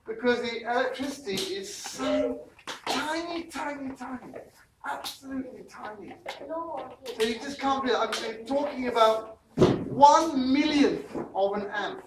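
An older man with a London accent leads a playful science demonstration for children, full of teasing asides. He is recorded from across a large, echoey room. Steady background noise sits under his voice. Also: very high voice, measured pace. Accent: London English. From England. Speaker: science show presenter. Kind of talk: lively science demo for schoolchildren. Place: large school library room, indoors. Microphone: far camera. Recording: echoey room from far off, noisy.

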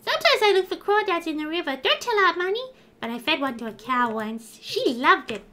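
A woman's voice: very high-pitched, big pitch swings, brisk, sharp tone, voice pushed up, exaggerated voice. A woman voicing an innocent, eager little child from a farming video game. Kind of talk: reading game dialogue aloud.

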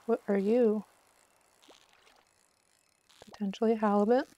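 A woman's voice: medium pitch, fairly even intonation, unhurried, dark tone, dry recording, dull audio. A woman giving casual, chatty commentary on a live game stream, talking to herself with mock exasperation.

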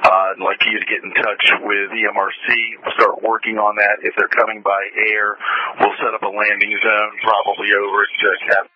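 A man's voice: fairly low pitch, monotone, normal speed, husky. A man speaking as a fire incident commander in businesslike, directive radio traffic. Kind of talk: emergency radio dispatch traffic. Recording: noisy dull emergency radio transmission.

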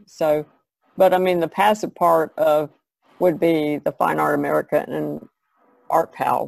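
A woman's voice: deep voice, gravelly, darkish tone, no room sound, low-quality recording. A woman who is an artist, chatting casually as a panelist on a podcast.

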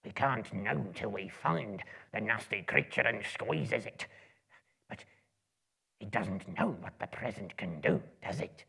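A man's voice: lowish voice, animated, unhurried, bright, forceful tone, exaggerated voice. A man voicing a small, wretched, spiteful cave creature who hisses and mutters to himself in broken grammar, in a dramatic book reading. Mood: fretful, suspicious. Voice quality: raspy, hissing, creaky.

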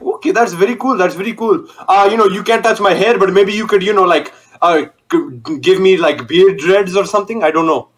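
A young man on a video call through a gaming headset, joking and boasting in a loud, cocky way. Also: sits high, brisk, hardly any pauses, rough recording. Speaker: online date contestant. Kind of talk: casual video call banter.

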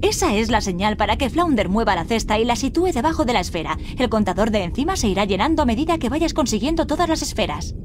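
A woman's voice: on the high side, very animated, fast, hardly any pauses, noisy background. A woman reading scripted instructions as the tutorial narrator of a video game.

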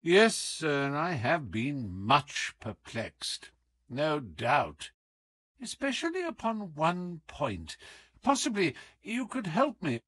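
A man voicing a suave, affable gentleman detective with a polite, teasing manner in an audiobook. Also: fairly high pitch, sing-song, slow pace, no echo, voice pushed up, heavily acted. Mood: mock-humble.

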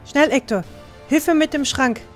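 Fantasy audio drama: practical young adventurer girl female actor, high-pitched, level-headed, brisk. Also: faint music behind. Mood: urgent. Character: brisk level-headed teenage heroine with hunting knife. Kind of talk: fan-made fantasy audio drama acting.

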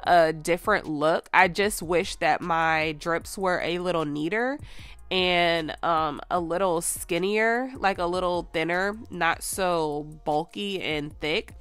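Female nail vlogger, slightly low voice, hoarse and congested, chatty and rambling, dry close sound. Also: slow pace, fairly bright tone, dry recording. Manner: chatty and rambling, full of "like" and "you guys". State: hoarse and stuffed up. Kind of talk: casual nail art tutorial.

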